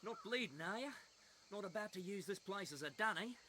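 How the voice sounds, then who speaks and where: An actor playing a crude, prickly man who talks gruffly in blunt slang. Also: high voice, lively intonation, brisk, sharp tone, a little hiss or hum. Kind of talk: video game cutscene acting.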